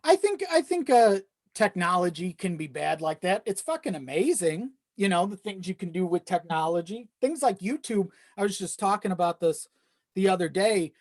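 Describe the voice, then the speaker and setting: A man's voice: high voice, lively intonation, moderate pace, dry recording. Casual male podcast guest, dry close sound. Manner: self-deprecating; casual, profane. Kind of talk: casual chat.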